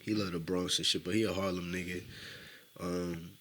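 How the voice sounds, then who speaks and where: Low-voiced laid-back rapper. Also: male voice, fairly even intonation, moderate pace, a bit bright. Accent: New York with a Midwest tinge. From upstate New York. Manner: laid-back, rambling, fills with "you know what I'm saying". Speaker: rapper and podcast guest. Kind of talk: casual podcast chat.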